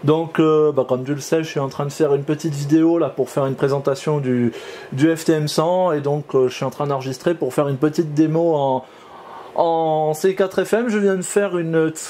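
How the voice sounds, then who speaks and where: A man's voice: fairly high pitch, dark tone. A man, an amateur radio operator explaining things hesitantly in an informal radio exchange. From France. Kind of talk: informal two-way ham radio exchange, demonstration. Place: indoor home radio shack.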